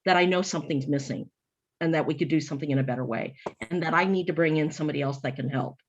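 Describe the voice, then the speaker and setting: A woman's voice: low-pitched, quick pace, highs cut off, slight background noise. A woman speaking earnestly in a panel discussion on advocacy work, often restarting her sentences as she thinks. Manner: earnest, thoughtful, with frequent restarts.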